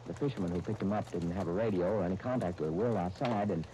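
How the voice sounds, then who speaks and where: A man's voice: sits low, fast talker, warm tone, lightly acted. A man playing a grave, commanding doctor in a 1930s radio drama. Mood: grave, steady. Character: grave, commanding doctor breaking momentous news to friends. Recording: noisy 1939 radio broadcast disc.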